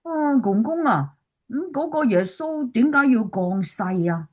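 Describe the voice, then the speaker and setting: Radio drama role: woman playing inquisitive young pupil, Cantonese, high and chirpy. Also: sing-song, a little slow, darkish tone, husky, highs cut off, heavily acted. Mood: earnest, curious. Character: eager curious schoolchild visiting grandparents.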